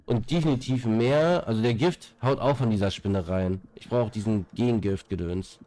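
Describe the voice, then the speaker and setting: A man's voice: lowish voice, normal speed, warm tone. A young man with a stuffy nose from a cold, chatting casually on a headset as he plays a game and rambles with fillers and repeated words. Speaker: gaming streamer. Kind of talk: casual chat while playing.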